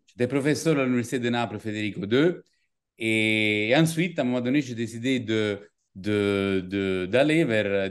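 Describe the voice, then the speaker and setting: A middle-aged man from southern Italy with an Italian accent, a native Italian speaker, giving a formal welcome speech with halting, repeated phrases. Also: lowish voice, smooth. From Capri, southern Italy. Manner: halting, with frequent repetitions. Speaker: business school director general giving an opening address.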